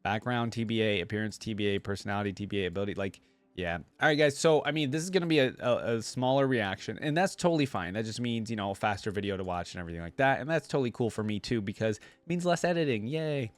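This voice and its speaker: A man's voice: fairly low pitch, lively intonation, quick pace, no echo. A man doing casual, chatty reaction commentary, sometimes reading aloud in an informal, enthusiastic fan style. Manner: chatty, informal, easily impressed fan talk. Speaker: YouTube reaction host.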